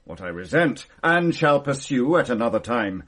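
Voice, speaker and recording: A huffy posh sir, husky voice, goes all over the place pitch-wise. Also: male voice, very animated, normal speed, fairly bright tone. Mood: indignant. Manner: blustering, haughty and defensive. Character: indignant titled man, a murder suspect. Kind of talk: classic radio drama acting.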